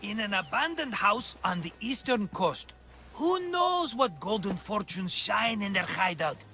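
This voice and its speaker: An actor playing a scheming, flattering, tight-fisted merchant in a video game, with a theatrical, wheedling manner. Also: male voice, sits high, a little slow, faint music behind, heavily acted. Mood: excited, conspiratorial. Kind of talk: video game cutscene acting.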